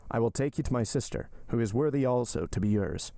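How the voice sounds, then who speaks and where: A man's voice: mid-range voice, animated, mellow, no echo, studio quality, lightly acted. Man reading as a restrained, desperate young suitor. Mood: urgent, ardent. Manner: formal, measured, intense. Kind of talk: audiobook character dialogue.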